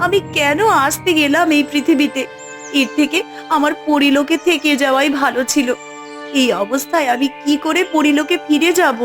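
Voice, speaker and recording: A woman's voice: sits high, steady tone, normal speed, music in the background, lightly acted. A woman voicing a sorrowful fairy mother in a fairy-tale cartoon, emotive, pleading and dramatic. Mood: anguished. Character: sorrowful fairy mother hiding her identity from her daughter. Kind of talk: Bengali fairy-tale cartoon dub acting.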